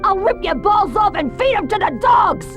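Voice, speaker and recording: Screeching, vicious old mob matriarch. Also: female voice, extremely high pitch, big pitch swings, moderate pace, a bit bright, soft background music, full character voice. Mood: enraged. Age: older. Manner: screeching, threatening. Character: vicious, foul-mouthed old crime family matriarch, grieving and vengeful. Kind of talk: video game cutscene acting.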